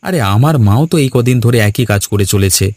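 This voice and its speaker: A man's voice: mid-range voice, lively intonation, fast talker, darkish tone, dry recording, natural voice. A man playing a brash, quarrelsome young villager in a cartoon, talking bluntly and goading in colloquial speech. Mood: scheming, eager. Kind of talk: Bengali cartoon dialogue.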